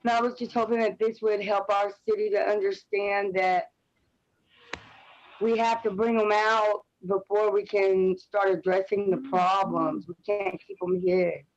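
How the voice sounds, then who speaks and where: A woman's voice: mid-range voice, little pitch movement, unhurried. A woman panelist speaking off the cuff and plainly, reflecting personally over a video call. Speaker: panelist, community artist. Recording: muffled video-call audio.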